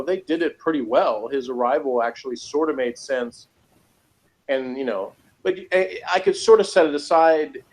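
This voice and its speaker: A man in a casual review chat, talking in a halting, rambling, opinionated and critical way. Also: mid-range voice, a little mellow, rough recording. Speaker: review show co-host.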